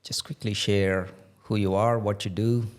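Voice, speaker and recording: A man hosting a podcast, asking questions in a slow, measured way. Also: on the low side, flat delivery. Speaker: podcast host. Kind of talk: podcast interview.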